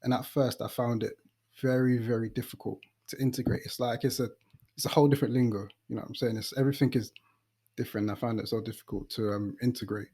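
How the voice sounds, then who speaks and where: Casting: male podcast host, London accent, soft mellow tone, informal and chatty delivery. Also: medium pitch, fairly even intonation, fast talker. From East London, England. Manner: informal, conversational, uses slang. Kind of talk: casual interview chat and podcast introduction.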